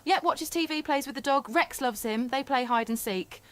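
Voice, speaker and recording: A woman's voice: high-pitched, normal speed, lightly acted. A woman acting an earnest, friendly radio phone-in caller, sincere and chatty, with a British accent.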